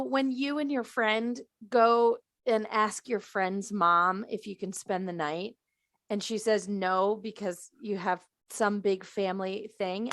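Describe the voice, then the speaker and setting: A woman's voice: mid-range voice, fairly bright tone, no room sound. A woman chatting casually and playfully as a panelist on a discussion podcast, giggly in her storytelling. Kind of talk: casual chat.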